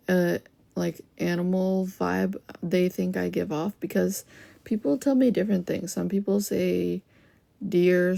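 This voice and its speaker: Gravelly low-voiced young woman livestreaming. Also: warm tone, no echo. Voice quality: lower voice for a woman. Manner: chatty, loose, with frequent "like" fillers. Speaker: livestream host. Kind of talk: casual live-stream chat with viewers.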